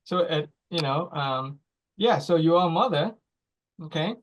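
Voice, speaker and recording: A facilitator leads the group with slow, reflective questions, pausing deliberately between phrases. The voice sits at a middle pitch, and the recording sounds dull and lo-fi. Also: lively intonation, a little hiss or hum. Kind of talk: guided reflective talk.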